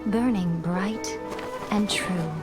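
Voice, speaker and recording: A woman's voice: medium pitch, loud music behind the voice. A woman playing a solemn storyteller, narrating a video game cutscene in a grave, lyrical manner. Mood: solemn, reverent. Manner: slow, poetic, grave.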